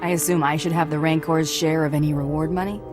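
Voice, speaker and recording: A woman's voice: deep voice, steady tone, quick pace, lightly acted. Woman doing a tough merc bounty hunter chick, blunt short lines, faint game music. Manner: blunt, terse. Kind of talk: video game cutscene acting.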